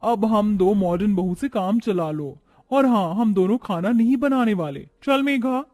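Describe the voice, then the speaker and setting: A man voicing a haughty young modern city daughter-in-law, sassy and defiant, mixing in English words, in an animated story. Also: high voice, unhurried.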